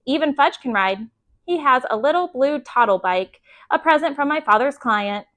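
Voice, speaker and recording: A woman's voice: high-pitched, animated, normal speed, a bit bright. A woman reading a children's book aloud as a bedtime storyteller, expressively acting out the dialogue.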